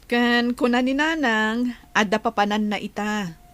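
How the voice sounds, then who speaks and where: A woman's voice: fairly high pitch, a little slow, fairly bright tone, dry recording. A woman with a Filipino Ilocano accent playing a practical, insistent wife and mother in a radio drama. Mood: insistent, questioning.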